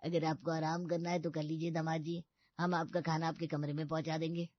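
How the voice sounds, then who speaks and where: A woman's voice: deep voice, level tone, fairly quick, no room sound, highs cut off. A woman voicing a hospitable, eager older village uncle in a Hindi comedy cartoon. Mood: polite, accommodating.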